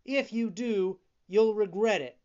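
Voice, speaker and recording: Narrator as a high, earnest little warning bird. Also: measured pace, darkish tone, highs cut off, close to an everyday voice. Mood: urgent warning.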